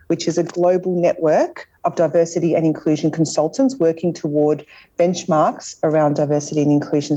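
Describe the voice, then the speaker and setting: A woman opens an online training session in a low, mellow voice and loosely introduces the guest speaker with plenty of "um"s. The audio is dull and lo-fi, as if from a video call. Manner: informal, with frequent ums. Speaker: webinar host.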